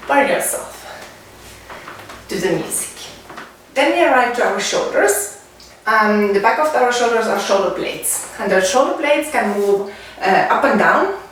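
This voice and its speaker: Female fitness and dance instructor, Finnish accent, halting demonstration delivery; very echoey room, recorded at a distance. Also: on the high side. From Finland. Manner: halting, pausing between short phrases while demonstrating. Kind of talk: spoken exercise demonstration. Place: indoors at home, away from the mic. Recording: echoey room, distant mic, faint noise.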